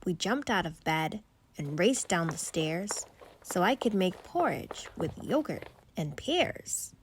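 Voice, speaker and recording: A woman reading a rhyming children's bedtime story aloud in an expressive storytelling style. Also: fairly low pitch, slow, slight background noise.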